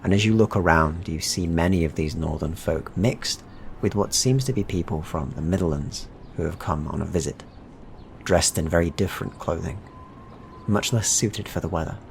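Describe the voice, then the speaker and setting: A man's voice: low voice, normal speed, vocal fry, slight background noise. A man narrating a tabletop fantasy game as game master, in a descriptive, evocative storytelling manner.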